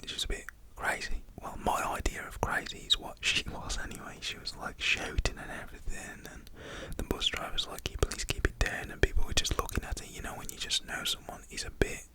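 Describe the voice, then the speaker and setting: A young man telling a story in a casual, rambling whisper, full of fillers. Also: fairly quick.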